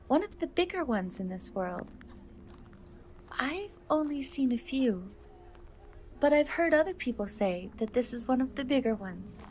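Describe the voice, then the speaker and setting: A woman voicing a kind, timid half-cat young woman in a soft, hesitant fantasy ASMR roleplay. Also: a little high. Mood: gentle, helpful. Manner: hesitant, soft-spoken, often slipping into whisper. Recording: noticeable background noise and ambience.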